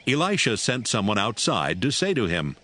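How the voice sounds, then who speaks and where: A man's voice: fairly low pitch, huge pitch swings, moderate pace, bright, forceful tone. A man narrating a dramatized Bible reading as a scripted storyteller.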